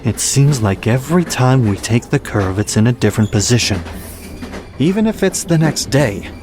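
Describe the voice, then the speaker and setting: A man playing a gruff, fatalistic steam train engineer in an audio drama, speaking in a terse, blunt way. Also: medium pitch, animated, moderate pace, noisy background, close to an everyday voice. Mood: pensive, wary. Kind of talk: amateur audio drama acting.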